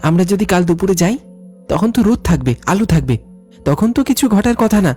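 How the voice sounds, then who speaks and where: A man voicing a curious young village boy who thinks the ghost story through calmly. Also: high voice, fairly quick, breathy edge, faint music behind, pitched above the performer's own voice.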